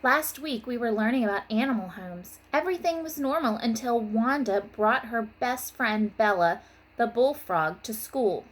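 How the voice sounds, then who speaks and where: A woman's voice: on the high side, animated, moderate pace, rough recording. A woman teacher giving an informal lesson and story for young children, stressing key terms in an instructional way. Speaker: third grade teacher.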